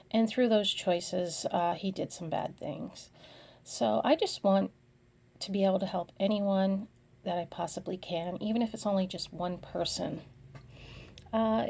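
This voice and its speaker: Woman, middle-aged, gravelly fry, soft dark tone, halting earnest delivery, slide talk. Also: medium pitch. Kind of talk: slide presentation.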